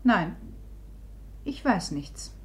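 A woman's voice: medium pitch, very animated, extremely slow, fairly bright tone, full character voice. An actress playing a cool, proud, mocking woman facing a rival in a classic radio drama, restrained and ironic.

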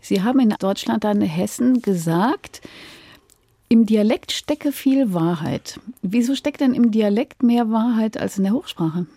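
A woman's voice: medium pitch, lively intonation, measured pace, dark tone, no room sound. A woman hosting a radio interview, speaking in a composed, inquisitive, conversational way.

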